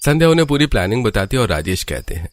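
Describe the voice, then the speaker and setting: Deep low guy voice narrating a cartoon story, storybook style. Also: sing-song, rapid-fire.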